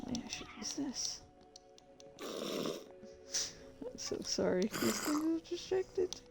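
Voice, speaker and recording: A woman hosting a livestream with casual, halting, easily sidetracked chat. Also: high-pitched, big pitch swings, gravelly, over music. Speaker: livestream host and fan fiction reader. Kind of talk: casual rambling stream chat.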